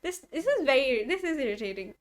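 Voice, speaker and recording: A woman's voice: high-pitched, very animated, a little fast. A woman streamer giving casual, emotive, exclamatory reactions while playing a game. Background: game music and dialogue.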